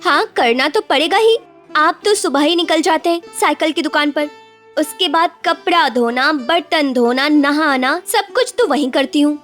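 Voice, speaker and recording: Lady doing a sensible young wife from a village cartoon, super high pitched, devoted n dramatic, faint music behind. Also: normal speed. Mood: playful, brisk. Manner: caring, expressive, dramatic. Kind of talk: animated moral story dialogue.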